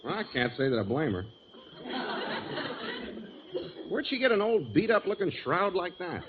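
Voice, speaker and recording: A thin, phone-like vintage radio sound with clear noise carries this man. He is an easygoing, dim bachelor musician sidekick in a 1940s sitcom. He speaks slangily with deadpan mock dignity and very lively pitch. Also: quick pace, a crowd in the background. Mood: reluctant. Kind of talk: 1940s radio sitcom acting before an audience. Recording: tinny, noisy 1940s radio recording.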